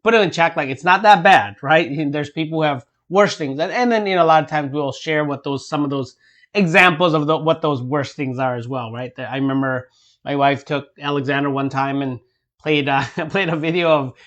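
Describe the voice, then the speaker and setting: A man's voice: on the high side, brisk, fairly bright tone, dull audio. A man co-hosting a casual parenting chat, talking emphatically and often ending points with a question. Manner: emphatic, frequent tag questions. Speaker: co-host, father.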